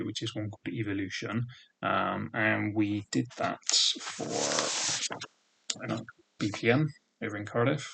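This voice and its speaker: A man's voice: lowish voice, gravelly, slight background noise, poor audio. A man in his thirties with a thick regional British accent, casually reminiscing in a rambling way. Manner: rambling, full of "sort of" and "um" fillers. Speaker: vlogger.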